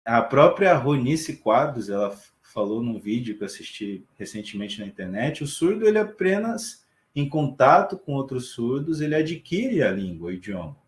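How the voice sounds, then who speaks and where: A man, teaching a class live over a video call, explaining calmly and checking in with his students often. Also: medium pitch, moderate pace, echoey room. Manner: relaxed explaining with frequent check-ins to students. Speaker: sign language teacher. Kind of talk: online class lecture.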